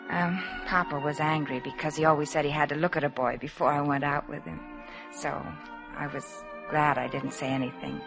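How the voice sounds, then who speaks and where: Shy young girl in love, soft-spoken, hesitant, earnest, wistful, 1950s radio drama, music underneath. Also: low-pitched, poor audio. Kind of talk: 1950s radio drama acting, intimate first-person narration.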